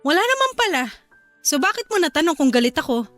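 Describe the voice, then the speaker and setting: Radio-drama young wife: very high, bright, hurt and reproachful. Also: female voice, sing-song, fast talker, soft background music, full character voice. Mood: sulky, irked. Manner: sulky, reproachful, near tears. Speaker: wife in a radio love story.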